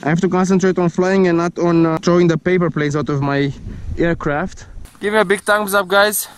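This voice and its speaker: Young man vlogging outdoors, higher-pitched voice. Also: steady tone, fairly quick, smooth. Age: 20s. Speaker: vlogger, paraglider pilot. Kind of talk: casual outdoor vlog, talking to viewers. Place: outdoors in hills and woods, and in flight. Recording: windy outdoor action-camera audio.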